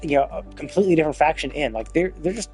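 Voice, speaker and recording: A man with a fairly high voice talks through a video game's story on a livestream. His delivery is casual and exasperated, peppered with swearing. Faint music plays behind him. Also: animated, rapid-fire, a little mellow. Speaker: game livestreamer.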